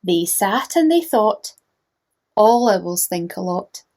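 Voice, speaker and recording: A woman narrating a children's storybook in a gentle manner, inviting young listeners to join in. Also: medium pitch, expressive, takes it slowly.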